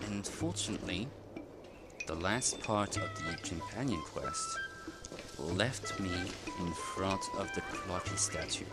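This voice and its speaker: Low, slow-talking man with hesitant commentary. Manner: rambling, hesitant with many fillers and restarts. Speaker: gaming streamer. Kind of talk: casual gameplay commentary. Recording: game music loud behind voice.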